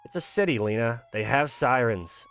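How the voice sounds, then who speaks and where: A man's voice: mid-range voice, lively intonation, moderate pace, a bit bright, clean tone. Man playing tense businessman dad and struggling writer, visual novel hero, mid-range voice, clipped, brushes worries aside. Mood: dismissive.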